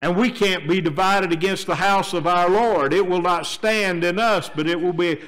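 Older male preacher at a pulpit, fairly high voice, drops low and quiet, then rises to emphatic appeals. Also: moderate pace, poor audio. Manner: swings between quiet low asides and raised emphatic appeals. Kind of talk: sermon. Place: church sanctuary, standing at a wooden pulpit. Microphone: pulpit microphone, filmed by a fixed camera.

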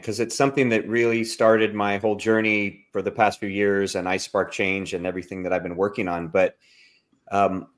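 Man, middle-aged, lowish voice, measured storyteller, studio mic on a video call. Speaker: guest author. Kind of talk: casual video call chat. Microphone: studio microphone on a video call.

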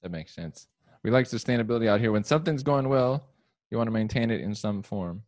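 A man's voice: fairly low pitch, lively intonation, fairly quick, a little mellow. A young man hosting an interview, speaking into a handheld microphone, asking questions and offering short affirming replies. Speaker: podcast host. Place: indoor room with plain wall.

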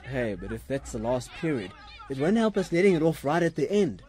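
An actor playing a cheeky, mischievous schoolboy in an audio drama, with a breezy, casual manner. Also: male voice, mid-range voice, sing-song, brisk, darkish tone, lightly acted. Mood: scheming.

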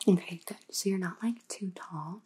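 A woman's voice: on the low side, moderate pace, warm tone, slight background noise, natural voice. A young woman playing an aloof, trend-obsessed vampire housemate in an ASMR roleplay, drawling casually and often whispering. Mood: bossy, casual. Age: young adult. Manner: casual valley-girl drawl full of "like".

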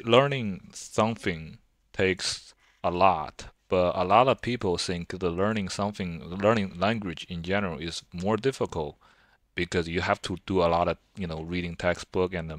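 A man's voice: deep voice, dry recording, studio quality. A man in his twenties from China with a Chinese accent, speaking English as a second language in a rambling, self-deprecating casual talk full of fillers and restarts. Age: 20s. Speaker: vlogger. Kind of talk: casual talk to camera over gameplay.

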